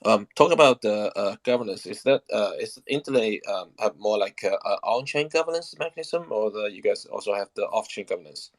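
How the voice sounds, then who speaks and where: A man hosting an interview, asking long questions haltingly with many "uh"s and restarts. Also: fairly low pitch, expressive, no room sound. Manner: halting, heavy fillers and restarts. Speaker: interviewer, community host. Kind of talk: asking questions in an online AMA.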